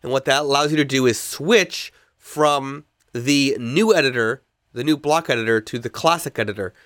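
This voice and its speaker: A man's voice: mid-range voice, moderate pace, fairly bright tone, dry recording, clean studio sound. A man hosting a podcast, congested from a cold, explaining a technical fix step by step with frequent fillers and restarts. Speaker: podcast host.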